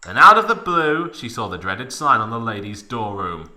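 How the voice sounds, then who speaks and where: Male narrator for comic fanfic reading: sharp, forceful tone, hugely swinging pitch, hammy emphasis. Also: sing-song, normal speed. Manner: theatrical, exaggerated emphasis. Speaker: narrator of a fan fiction reading. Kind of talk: mock dramatic reading with comic asides.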